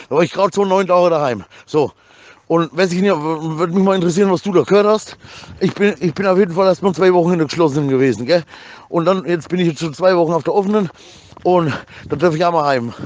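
A man's voice: fairly high pitch, a little mellow, slight background noise. A man chatting casually in an agitated, rambling way, stammering over repeated words, with slangy, crude swearing. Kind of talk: casual chat, agitated rant.

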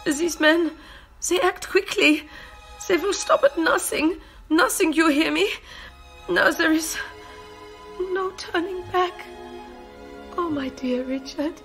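Woman acting a high-voiced mysterious female spy. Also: slow, long pauses, a bit bright, soft background music, exaggerated voice. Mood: dying, urgent. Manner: melodramatic, formal, portentous phrasing. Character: mysterious, urgent foreign woman spy in grave danger. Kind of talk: homemade radio play acting.

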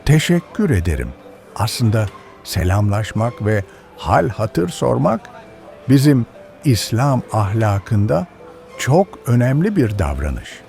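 A man's voice: mid-range voice, very animated, slow, creaky, soft background music, full character voice. An actor playing a kind, pious old village grandfather who teaches patiently in a children's cartoon. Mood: patient, instructive. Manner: instructive, gentle moralizing. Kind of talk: educational children's cartoon dialogue.

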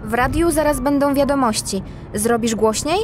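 A woman playing a businesslike, self-assured cat police detective in a children's audio drama, brisk and matter-of-fact. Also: sits high, over music, lightly acted.

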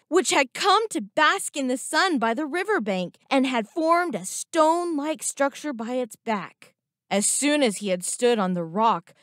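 A woman reading a scripted moral story for children in a deliberate, expressive storytelling manner. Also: on the high side, no room sound, studio quality. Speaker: narrator.

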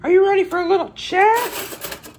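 A man's voice: extremely high pitch, normal speed, background noise, voice pushed up. A man voicing a bratty, scheming young boy who whines, wheedles and turns bossy and threatening in a comedy skit.

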